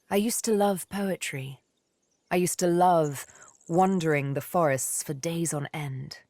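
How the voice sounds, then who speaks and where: An actress playing a haunted, wistful young elven woman in a video game, telling her tale in deliberate, poetic, theatrical phrases. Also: low-pitched, darkish tone, no room sound. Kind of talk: dramatic video game dialogue, intimate storytelling.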